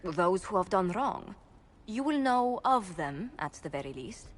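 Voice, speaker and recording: An actress playing a poised court adviser in a video game, speaking in a formal, measured and diplomatic way. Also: female voice, mid-range voice, big pitch swings, slight background noise. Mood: formal, composed. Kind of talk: video game dialogue acting.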